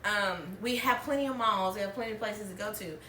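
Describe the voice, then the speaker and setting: A woman's voice: mid-range voice, fast, pushed and bright, a little hiss or hum. A woman, telling personal stories as a podcast host, chatty and laughing often. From Alabama, US. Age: 30s. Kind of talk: candid storytelling chat. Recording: roomy, distant mic.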